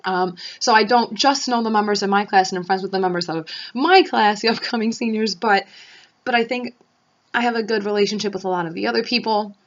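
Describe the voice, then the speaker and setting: A woman's voice: medium pitch, brisk, muffled recording. A young woman in her twenties giving an earnest audition statement for a student leader role, thoughtful and full of ums and restarts. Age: 20s. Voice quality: loud voice. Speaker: student applying for section leader.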